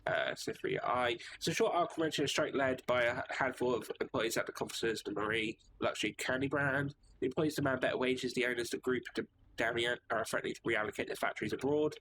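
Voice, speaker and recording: A man's voice: mid-range voice, quick pace, hardly any pauses, background noise. A man streaming a narrative game live, reading the narration aloud with casual asides of his own. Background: game music.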